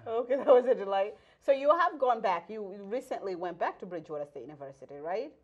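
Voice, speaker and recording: A woman's voice: medium pitch, animated, fast, dull audio. A young woman hosting a casual interview, reacting readily and asking teasing follow-up questions. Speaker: talk show host, interviewer. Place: small indoor TV studio set.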